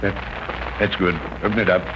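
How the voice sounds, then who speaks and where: Muffled, noisy 1930s radio drama gives us a criminal mastermind, acted by a man. He is cold and commanding, with a lowish voice and deliberate, clipped orders. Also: level tone, close to an everyday voice.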